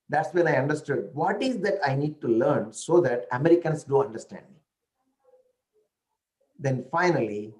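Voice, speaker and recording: A man's voice: on the high side, darkish tone. A man with an Indian accent who speaks an Indian language natively, telling stories in a casual talk and often checking in with "right?" and "you know".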